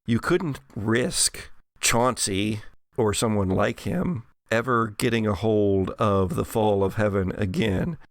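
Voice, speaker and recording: A man's voice: fairly low pitch, slow, no echo, studio quality. A man acting as game master, narrating a tabletop horror role-playing game.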